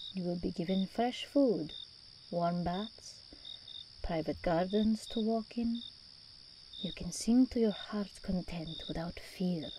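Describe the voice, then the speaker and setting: Woman playing a slow, mellow, seductive demon princess. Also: medium pitch, a little hiss or hum. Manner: regal, teasing, unhurried delivery with endearments. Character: seductive, imperious female demon princess, doting and possessive. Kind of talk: ASMR roleplay monologue.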